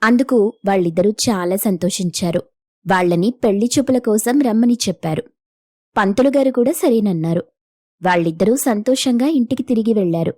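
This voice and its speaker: A woman's voice: medium pitch, normal speed, dark tone, dry recording. Woman narrating a story, dry studio sound. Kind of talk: scripted moral story narration.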